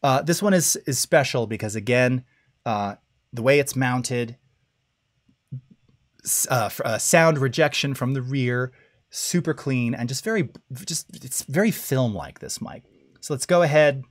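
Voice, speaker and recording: Middle-aged male host with a low voice, relaxed live-stream tutorial delivery, close studio mic. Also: a little slow. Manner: casual, checks in often with "all right?" and "okay?". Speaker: audio masterclass host. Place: home studio with dim blue lighting.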